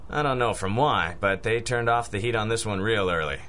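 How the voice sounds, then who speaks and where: A man playing a cynical, slangy veteran crime reporter in an old-school radio detective drama, gruff and world-weary. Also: fairly low pitch, brisk, sharp tone. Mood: cynical, wry. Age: middle-aged or older figure. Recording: ambient noise behind the voice.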